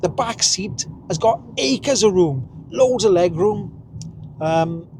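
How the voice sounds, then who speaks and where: Welsh guy driving and chatting away, kinda high voice, chuckles at himself, bit of road noise. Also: animated, slow, darkish tone. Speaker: vlogger. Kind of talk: casual driving vlog. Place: inside a car while driving.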